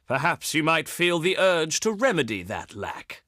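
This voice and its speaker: An actor playing a haughty, pious and slyly threatening ancient Greek diplomat in a video game, speaking in pompous, oratorical phrases. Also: male voice, on the high side, big pitch swings, measured pace, sharp tone, no room sound, studio quality, full character voice. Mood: measured, persuasive. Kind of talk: theatrical scripted game dialogue.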